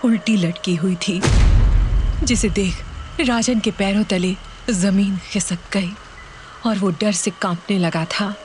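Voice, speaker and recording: A woman's voice: mid-range voice, steady tone, slow pace, noisy background. A woman storyteller giving a dramatic scripted narration of a horror story. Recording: music behind the voice.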